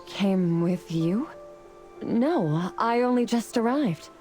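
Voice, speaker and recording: A woman's voice: mid-range voice, animated, slow pace, darkish tone, soft background music. A woman playing a noble, resolute celestial being in a game story, speaking formally and with composure. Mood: apologetic. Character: noble, solemn, resolute female celestial warrior. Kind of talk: scripted game voice acting.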